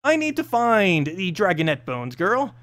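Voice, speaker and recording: A man voicing a doting sorceress who coos and purrs softly to her pet in a storybook reading. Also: sits high, wildly swooping pitch, unhurried, exaggerated voice. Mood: tender, cooing. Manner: soft cooing and purring, as to a pet.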